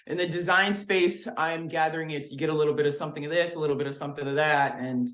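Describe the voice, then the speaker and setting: A woman's voice: sits low, steady tone, fast talker. Bubbly woman on an echoey video call. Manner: bubbly, playful, laughing often. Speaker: guest. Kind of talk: casual video-call interview. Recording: roomy echo on a video call.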